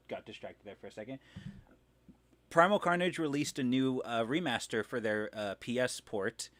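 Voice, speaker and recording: A man hosting a live stream, running casually through news in a halting, rambling way and often correcting himself. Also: lowish voice, a bit bright, dry recording.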